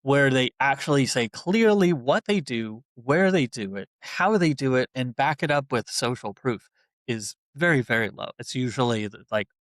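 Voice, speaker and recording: A man hosting a casual podcast interview, relaxed and conversational, with an easy laugh and a lot of filler words. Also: mid-range voice, normal speed, dry recording. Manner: relaxed, conversational, laughs easily, many fillers like "you know". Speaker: podcast host, website builder.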